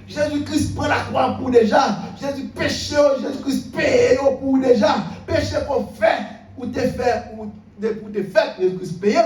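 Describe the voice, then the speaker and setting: A male pastor with a Haitian accent delivers a fervent sermon in a very high voice, hammering on repeated phrases and dropping from shouts to near-mutters. He is heard from a distance in a reverberant church hall, with faint music behind him. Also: a little hiss or hum. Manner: fervent, repetitive preaching, swings between shouted bursts and low murmurs.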